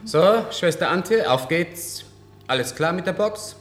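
Lively, swooping, breezy clinic doctor. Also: male voice, sits high. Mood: jovial, commanding. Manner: jovial, brisk, instructing. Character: breezy, bossy, joking male clinic doctor. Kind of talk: German radio play acting. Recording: faint background noise.

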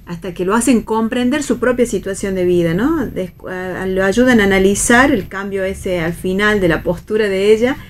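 A woman with an Argentine accent and a mid-range voice. She discusses literature casually and haltingly as a radio panelist. Faint ambient noise sits behind her. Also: medium pitch. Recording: clear background ambient noise.

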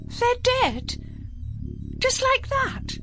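A woman voicing a shocked, frightened young woman who speaks in a high, pleading way. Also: big pitch swings, slow, over music, heavily acted. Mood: distraught.

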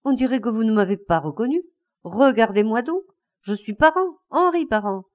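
A woman's voice: fairly high pitch, animated, normal speed, close to an everyday voice. A woman voicing a bitter, enraged older man, a betrayed husband in a story, breathless and insistent in his outbursts. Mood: bitter, menacing.

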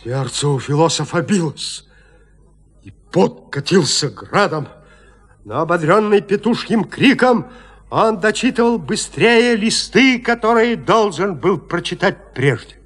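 A man narrating a classic horror tale in an audio play, with theatrical, expressive delivery. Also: high-pitched, a little slow.

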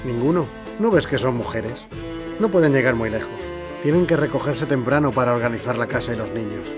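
An actor playing a condescending official of 1918 in a Spanish radio drama. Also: male voice, medium pitch, a little fast, over music, lightly acted. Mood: dismissive. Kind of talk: period radio drama acting.

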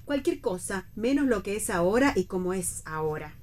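A woman's voice: medium pitch, lively intonation, normal speed, darkish tone, close to an everyday voice. An actress playing a proper, homely older mother, mildly reproachful and resigned, in a read radio play. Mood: matter-of-fact. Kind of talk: staged reading of a radio play.

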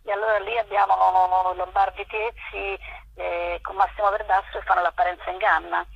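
A woman's voice: mid-range voice, little pitch movement, moderate pace, vocal fry, slightly rough, no room sound. A talkative woman explaining at length in a casual interview, speaking over a dull, lo-fi phone line. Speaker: arts programme organiser being interviewed.

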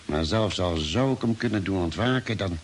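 Deliberate doctor, old hissy radio play. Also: male voice, low voice, expressive. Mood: pondering. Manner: deliberate, explanatory, hesitating mid-sentence. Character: thoughtful expedition doctor, calm and reasoning. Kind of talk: 1950s-style radio drama acting.

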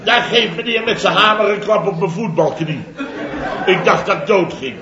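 A man's voice: high voice, normal speed, crowd noise, close to an everyday voice. An actor playing a pompous, self-important middle-aged construction company boss who chuckles through his own boastful stories, in 1960s radio comedy style. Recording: dull roomy old radio recording.

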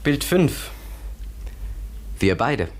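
An actor playing a philosophical, thoughtful companion in a German radio drama, explaining things in a deliberate, contemplative way. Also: male voice, medium pitch, very animated, breathy edge, full character voice. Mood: matter-of-fact, probing. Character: philosophical man pondering another world, thoughtful companion.

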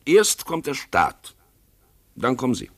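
An actor playing a brusque, dismissive police commissioner in a German radio play, curt and officious, with a gravelly, husky voice. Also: male voice, mid-range voice, wildly swooping pitch, slow pace, full character voice. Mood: lecturing.

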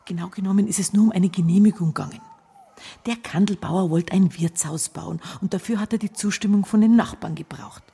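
An actress playing a secretive small-town wife in a radio drama, with a Bavarian accent and a hushed, hesitant, husky delivery. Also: female voice, medium pitch, fairly quick. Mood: hurried, resigned. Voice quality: husky, breathy.

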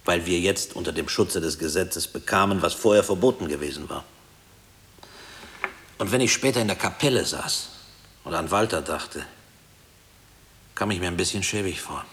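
A haunted husband who was once a prisoner, in an old German radio play. His low voice is grave and deliberate, brooding over the past, and it hangs in a long reverb. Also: a bit bright. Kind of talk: 1960s German radio drama acting.

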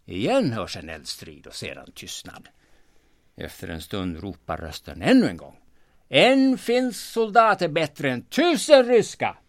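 A man playing a grumpy, wildly animated sauna owner. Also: low-pitched, dry recording. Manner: theatrical, swooping into loud exclamations. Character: grumpy Finnish-named sauna owner and junk hoarder, easily flabbergasted. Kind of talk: audio drama dialogue and joke-telling.

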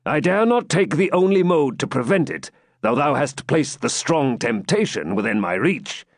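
A man voicing a stern, proud old Saxon lord and father in a forceful, declamatory storybook manner with archaic speech. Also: fairly high pitch, very animated, moderate pace, dry recording, studio quality. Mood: bitter.

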